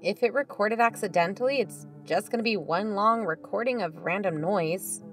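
A wry, skeptical amateur sleuth played by a woman, speaking at middle pitch. Music is clearly heard behind the conversational audio drama dialogue. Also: moderate pace, close to an everyday voice. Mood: dry, skeptical. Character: dry, skeptical female amateur investigator.

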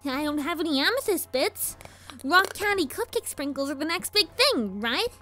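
A scheming, perky little girl pony from a cartoon audio story. Very high, bright and animated, she sounds sweetly cocky. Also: normal speed, exaggerated voice. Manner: perky, falsely innocent. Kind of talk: animated-series fan fiction audio drama. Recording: music under the voice.

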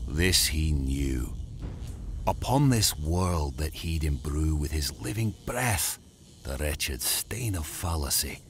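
A man's voice: a bass voice, expressive, slow, faint music behind, exaggerated voice. An actor playing a tormented male mad scientist, delivering a solemn, theatrical rhyming monologue in a gothic horror audio drama. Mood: anguished, regretful. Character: tormented, brooding male mad scientist confessing his blasphemy.

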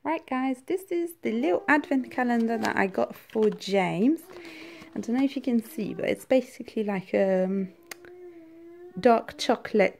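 A woman's voice: creaky, a little mellow. A young woman chatting casually to camera as a vlogging mum, recorded on a handheld phone with noise around her. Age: young adult. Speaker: vlogger and mother. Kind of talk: casual vlog chat to camera. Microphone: handheld phone or camera at arm's length. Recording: noisy handheld vlog recording, car rumble.

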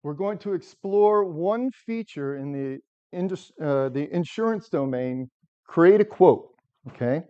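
A loud, engaging middle-aged man from the United States speaks to a seated audience in a lecture room, his fairly high voice carrying without a microphone. The sound is dull and lo-fi, caught by a camera at the back of the room. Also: lively intonation, unhurried, clear, resonant tone. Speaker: software architect giving a talk. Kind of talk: conference talk to a live audience. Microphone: far camera, no microphone.

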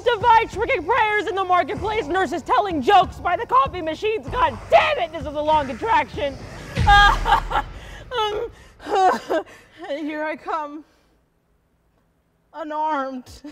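A woman's voice: sits high, a little mellow, distant mic. A young woman acting a dramatic monologue as a wry, defiant woman in labour, breathless and pleading. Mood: fierce, strained. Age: young adult. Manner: dramatic, breathless, with sardonic asides. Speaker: student actor. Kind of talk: theatrical monologue performance.

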